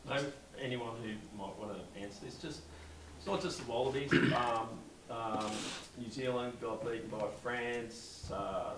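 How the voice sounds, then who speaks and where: A man's voice: on the low side, noisy background. A male journalist asking rambling, conversational questions at a press conference. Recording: distant mic in echoey room.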